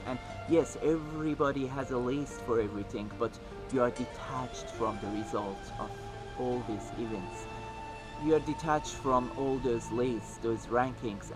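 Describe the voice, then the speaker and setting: A man with a Persian accent speaks earnestly and warmly, pausing often and reaching for words. His voice sits at a middle pitch. Music plays clearly behind him. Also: poor audio. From Iran. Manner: earnest, heartfelt, with frequent pauses and fillers. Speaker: video maker. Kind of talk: personal video message.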